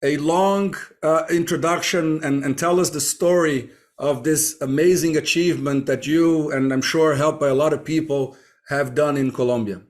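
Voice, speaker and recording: A man hosting a podcast interview, introducing his guests warmly and asking questions with many hesitant fillers. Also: on the high side, fairly even intonation, normal speed. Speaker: podcast host.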